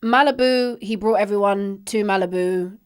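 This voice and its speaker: A woman's voice: medium pitch, normal speed, smooth. Woman chatting casually on a podcast. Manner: informal, opinionated recapping. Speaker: podcast co-host. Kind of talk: casual chat.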